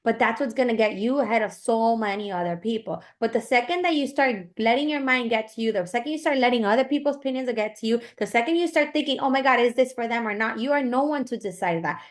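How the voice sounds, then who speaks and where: A woman's voice: on the high side, fast talker, few pauses. A woman giving an informal motivational pep talk as a sales team coach.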